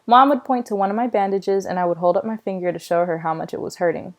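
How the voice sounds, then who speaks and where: A woman reading a children's novel aloud in a storytelling style, with expressive delivery. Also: medium pitch, fast talker. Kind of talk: read-aloud storytelling with acted dialogue.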